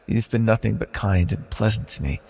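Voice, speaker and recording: An ancient godlike male villain, played by a man, speaks in a heavily creaking voice with a breathy edge. He is hushed and deliberate, coaxing the listener tenderly as he manipulates them. It is intimate ASMR fantasy roleplay. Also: animated, big-room sound, exaggerated voice. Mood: regretful, apologetic. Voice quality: gravelly, breathy low voice.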